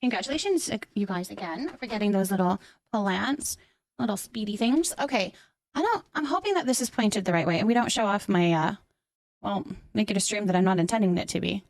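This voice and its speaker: A woman's voice: mid-range voice, expressive, fairly quick, vocal fry, dry recording, studio quality. A woman livestreamer chatting casually with her viewers, effusive and affectionate, often repeating words and short phrases as she thanks them. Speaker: live streamer hosting a chat.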